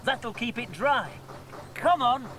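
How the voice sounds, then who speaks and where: Man voicing a high-pitched, capable young cartoon chap. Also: expressive, slow, heavy background noise, full character voice. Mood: reassuring. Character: friendly, calm, capable young man taking charge. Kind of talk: children's cartoon character acting.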